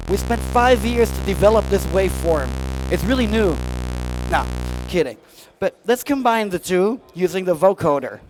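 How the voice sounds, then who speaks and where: High-pitched man giving a casual demo. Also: low-quality recording. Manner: jokey, chatty, slangy. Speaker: product demonstrator at a music trade show.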